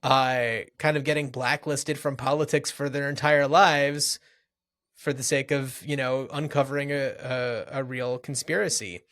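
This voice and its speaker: A man's voice: mid-range voice, steady tone, measured pace, fairly bright tone. A man co-hosting a podcast, discussing movies casually and thinking aloud in a loose, conversational way. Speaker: podcast co-host.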